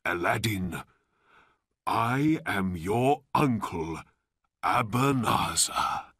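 A man voicing a sly old uncle in a storybook, secretive and theatrically emotional, with slow dramatic delivery. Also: lowish voice, lively intonation, fairly bright tone, slightly rough, no echo, studio quality, heavily acted. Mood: fond, coaxing.

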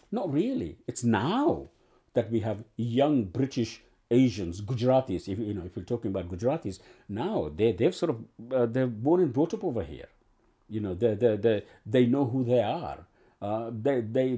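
A man's voice: medium pitch, mellow. A man in his sixties from Uganda, an actor telling his story in an oral history interview in a living room, rambling with many restarts and fillers. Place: living room with bookshelves and sofa.

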